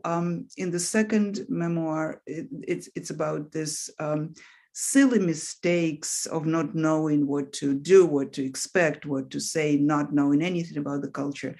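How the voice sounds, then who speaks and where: Soft-spoken woman with a Russian accent. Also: low-pitched. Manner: thoughtful, pauses with frequent "um". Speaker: guest author. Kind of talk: casual interview conversation.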